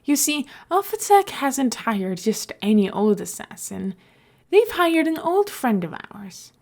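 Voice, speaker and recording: A woman's voice: high-pitched, very animated, a little slow, darkish tone, pitched above the performer's own voice, heavily acted. A woman voicing a glib, scheming male mayor in an audiobook, with a nonchalant, playful and emphatic delivery. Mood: playful, animated.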